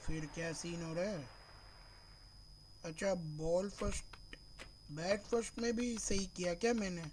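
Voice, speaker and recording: A man from Mumbai streaming a cricket video game, slightly hoarse from a sore throat, thinking aloud and calling his viewers "bro" in nearly every phrase. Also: sits high, fairly even intonation, a little slow, a little mellow, a little hiss or hum, poor audio. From Mumbai, India. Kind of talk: live gameplay stream chat.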